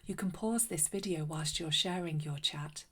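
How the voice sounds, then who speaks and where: A woman narrating a read-aloud story for children in a gentle, unhurried, expressive way. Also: on the low side, husky. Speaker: children's story reader and host.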